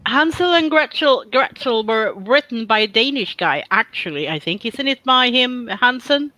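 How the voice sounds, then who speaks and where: Bright-voiced person with a Swedish accent. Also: mid-range voice, animated, normal speed, no room sound, poor audio. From Sweden. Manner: rambling, self-correcting, playful teasing. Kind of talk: casual chat.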